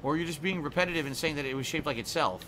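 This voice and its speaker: A man's voice: a little high, rapid-fire, background noise. A man chatting casually while streaming a game, playfully toying with word sounds and drawing words out.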